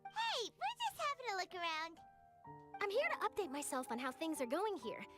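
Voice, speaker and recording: A woman's voice: very high-pitched, sing-song, moderate pace, over loud music. A woman playing an eager, chirpy young reporter in a video game, bubbly and pushy in manner. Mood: curious, friendly.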